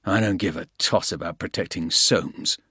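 A man voicing a tough, determined bodyguard, speaking in a low, gruff mutter. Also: normal speed, sharp tone, no room sound, clean studio sound, exaggerated voice. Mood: grim.

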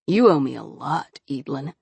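A woman reading an audiobook voices a smooth-talking, scheming young nobleman who coaxes and quietly threatens. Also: a bass voice, expressive, normal speed, no echo, pitched below the performer's own voice, exaggerated voice. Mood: coaxing. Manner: slick, coaxing, quietly threatening. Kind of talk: audiobook character voice.

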